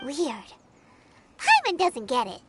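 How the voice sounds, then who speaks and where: A woman voicing a small, childlike, excitable and blunt fairy companion in an anime game, with a squeaky voice. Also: slow, heavy background noise, full character voice. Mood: puzzled. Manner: refers to self in third person, exclamatory. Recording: game music behind the voice. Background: clear background music.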